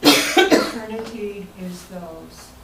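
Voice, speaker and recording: Woman, medium pitch, literary reading, distant mic, noisy background. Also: lively intonation, drawn-out words. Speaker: guest reader at club meeting. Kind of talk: prepared reading of literary introduction and poem. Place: indoor meeting room. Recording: echoey room, distant mic, background noise.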